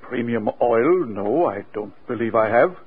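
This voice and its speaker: An actor playing a formal, courteous, evasive senator in 1940s radio drama style. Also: male voice, mid-range voice, expressive, unhurried, husky. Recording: tinny, faintly noisy old radio recording.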